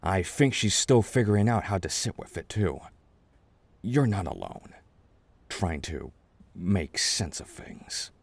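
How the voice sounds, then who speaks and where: Gentle, rambling older chess king played by a man, soothing ASMR roleplay, deep voice, very dry close sound, halting reassurance. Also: sing-song, creaky, slightly rough, no echo, full character voice. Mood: warm reassurance.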